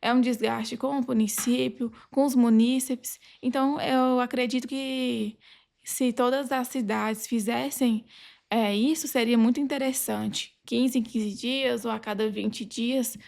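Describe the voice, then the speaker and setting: High-pitched Brazilian woman with creaky voice. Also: fairly even intonation, a little slow, a little mellow. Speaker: podcast guest, legal advisor. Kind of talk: conversational podcast interview.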